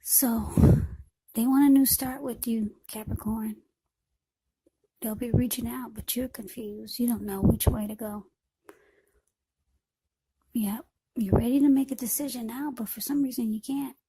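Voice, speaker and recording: Husky high-voiced woman reading tarot. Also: fairly even intonation, unhurried, pauses often, dark tone, low-quality recording. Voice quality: husky, breathy. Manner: unscripted, short broken phrases with repeats. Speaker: tarot card reader. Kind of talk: informal tarot reading spoken to the viewer.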